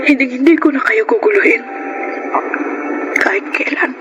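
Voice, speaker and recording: A woman's voice: high voice, moderate pace, noisy background, close to an everyday voice. A woman with a Filipino accent playing a needy, lovelorn young woman in an emotional radio drama. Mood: remorseful. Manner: pleading, heartfelt. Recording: music behind the voice.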